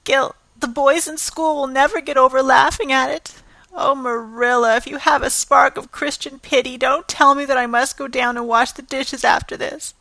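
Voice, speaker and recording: Woman doing a melodramatic little orphan girl, high and bright, pleading fast. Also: fairly even intonation, vocal fry, dry recording, heavily acted, rough recording. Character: excitable, dramatic orphan girl.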